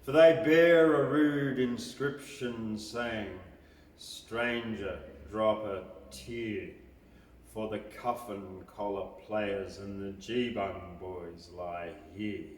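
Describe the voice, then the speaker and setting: A man reciting a bush ballad aloud as a poetry reader, with a deliberate, emphatic storytelling delivery. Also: lowish voice, fairly even intonation, slight background noise. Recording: echoey hall, distant mic.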